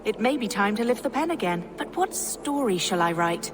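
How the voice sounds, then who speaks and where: An actress voices a devout medieval abbess in a historical game. She has a mid-pitched female voice and formal, measured, old-fashioned phrasing, gently bookish and courteous. Clear ambient noise runs behind her. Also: sing-song, natural voice. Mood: thoughtful. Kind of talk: dramatic historical video game dialogue.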